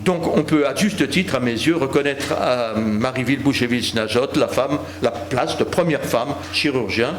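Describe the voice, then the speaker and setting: A man giving a formal academic lecture with measured phrasing. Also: medium pitch. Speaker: historical speaker concluding a talk. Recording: echoey hall with background noise.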